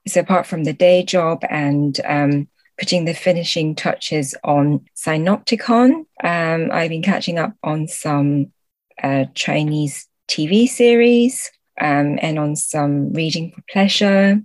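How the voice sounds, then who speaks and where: A woman with a slightly low voice talks as a podcast guest in a casual interview. She speaks slowly and politely, in measured phrases. The sound is close and dry, with no room echo.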